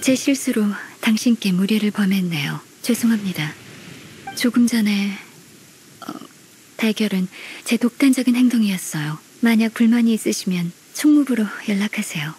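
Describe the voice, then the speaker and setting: A woman voicing a polite, dutiful young secretary in an anime-style game, formal, measured and firm. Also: mid-range voice, animated, darkish tone, a little hiss or hum, lightly acted.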